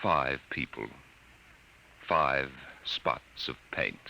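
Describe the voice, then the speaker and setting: An actor playing a grave, dramatic storyteller, narrating in the style of 1950s radio drama. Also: male voice, a bass voice, extremely slow, creaky, lo-fi sound, slight background noise, heavily acted. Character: grave, dramatic male storyteller of a doomed house. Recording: old radio recording with loud music.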